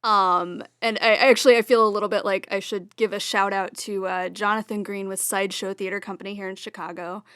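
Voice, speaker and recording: A woman's voice: mid-range voice, steady tone, brisk, few pauses, fairly bright tone, smooth, no echo, studio quality. A woman who works in theater, speaking informally and frankly in a casual group interview. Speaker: theater stage manager and Foley artist.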